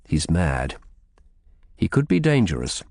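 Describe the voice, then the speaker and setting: A man's voice: low voice, wildly swooping pitch, slow, gravelly, a little mellow, dry recording, exaggerated voice. A man voicing a stern, impatient royal official in an audiobook, curt and authoritative. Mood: wary, probing. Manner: brusque, authoritative.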